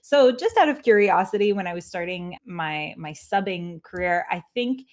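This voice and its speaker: A woman's voice: lowish voice, lively intonation, moderate pace, a little mellow. A woman in her thirties from Quebec whose first language is French, hosting a teaching webinar in a chatty, self-deprecating way, laughing often and filling with "like". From Quebec, Canada. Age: 30s. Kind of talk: casual webinar talk.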